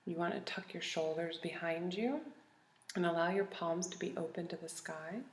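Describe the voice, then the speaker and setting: A woman leading a guided body scan meditation in a calm, gentle, soothing manner with long pauses. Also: fairly low pitch. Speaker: meditation teacher. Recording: roomy, echoey recording.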